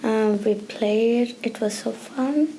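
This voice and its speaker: Young woman, mellow high-ish voice, simple short phrases, from India, faint background noise. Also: takes it slowly, rough recording. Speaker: youth programme participant. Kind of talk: casual personal testimony.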